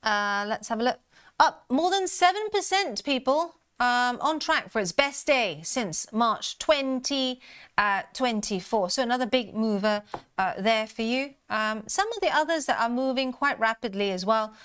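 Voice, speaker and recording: A woman runs a live market show in a smooth, clear voice, chatting through the day's stock movers. She talks casually, with plenty of ums and uhs, and stays upbeat. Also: on the high side, lively intonation, normal speed, a bit bright. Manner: chatty, many fillers, upbeat with stock updates. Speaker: trading show host. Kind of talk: live market commentary chat.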